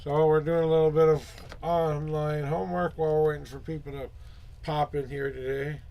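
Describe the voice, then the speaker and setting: A hoarse man hosting a casual tarot livestream, muttering asides to himself and greeting viewers in a loose, chatty way. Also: a little high, little pitch movement, highs cut off. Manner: upbeat, drawn-out greetings to viewers. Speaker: tarot reader and livestream host.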